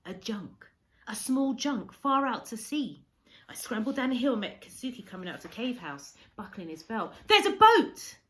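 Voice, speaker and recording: A woman reading a storybook aloud as a teacher would, expressively, with measured dramatic emphasis. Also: fairly high pitch, animated.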